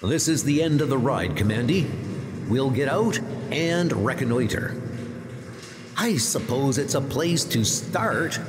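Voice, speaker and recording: Man as cool, measured adventure hero. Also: medium pitch, big-room sound, noisy background, lightly acted. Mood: cool, practical. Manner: calm, measured, heroic. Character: cool, confident adult male adventurer in post-apocalyptic world. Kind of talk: comic dub character acting. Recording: music under the voice.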